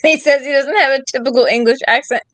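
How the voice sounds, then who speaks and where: A woman hosting a casual chat, chatty and quick to ask questions. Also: high voice, a bit bright, no room sound. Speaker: host.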